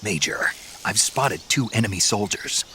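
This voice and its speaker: A deep-voiced, terse soldier. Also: male voice, lively intonation, moderate pace, pushed and bright, a little hiss or hum. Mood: focused, terse. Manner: terse, understated military delivery. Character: gruff male special forces soldier, laconic and cool-headed. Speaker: field operative. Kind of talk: video game voice acting.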